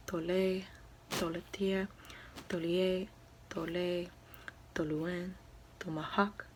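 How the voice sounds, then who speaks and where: Woman doing asmr, whispery word by word reading, real slow, some room noise behind her. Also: on the low side. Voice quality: soft, breathy whisper. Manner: slow, halting, sounding out words one by one. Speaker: ASMR creator reading a French dictionary.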